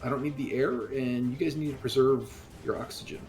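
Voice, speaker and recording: A man's voice: medium pitch, steady tone, a little fast, a little mellow, faint music behind, rough recording. A man playing a tabletop game, stating his character's plans directly. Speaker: player. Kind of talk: casual tabletop play.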